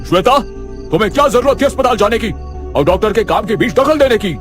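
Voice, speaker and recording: A domineering husband and hospital boss in a cartoon horror tale, played by a man, scolding and urgent. The voice rides high and swings sharply, with music behind. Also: animated, quick pace. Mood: angry. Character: angry, domineering husband who runs a hospital.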